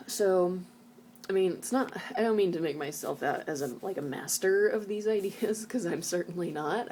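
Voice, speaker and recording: A woman's voice: fairly low pitch, dark tone. A woman vlogger speaking earnestly and reflectively, as if sharing thoughts from a book. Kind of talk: reading book passages aloud and informal reflective talk. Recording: noticeable background noise.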